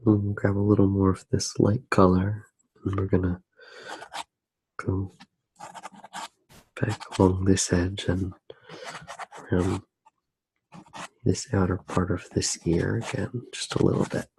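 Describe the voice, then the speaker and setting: A man softly narrating an ASMR drawing tutorial close to the mic, hushed and unhurried, often pausing mid-sentence. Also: sits low, level tone, creaky, breathy edge, dry recording, low-quality recording. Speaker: ASMR artist, host.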